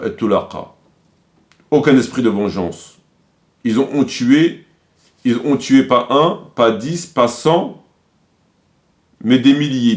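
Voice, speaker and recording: A man's voice: medium pitch, darkish tone. A man delivering critical commentary, quoting sources didactically and repeating his points for emphasis.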